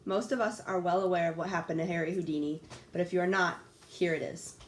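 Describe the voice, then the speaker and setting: A woman's voice: on the low side, steady tone, fast, a little hiss or hum. A young woman hosting a scripted mock talk-show interview in an upbeat, read-from-script manner. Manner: upbeat, reads questions from a script. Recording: echoey room.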